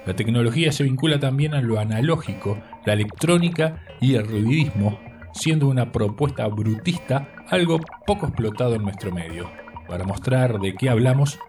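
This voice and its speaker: Male cultural radio presenter, formal and measured scripted read, music bed, slightly distant mic. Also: medium pitch, lively intonation, darkish tone.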